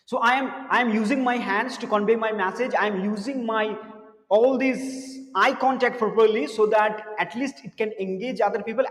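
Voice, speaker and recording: A man who teaches spoken English, giving an earnest motivational lesson to camera, repeating words and dropping his voice low for serious points. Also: a bit bright. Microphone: camera mic, speaking to camera. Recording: echoey room with long reverb.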